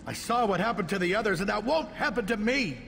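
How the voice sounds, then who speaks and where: A cavernous, reverberant game recording of a fearful middle-aged mage held captive. He is indignant and theatrical, with a creaky edge and animated, swinging pitch. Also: fast talker, heavily acted. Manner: agitated, theatrical. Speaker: game character actor. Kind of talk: video game dialogue acting. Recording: long reverb, background noise.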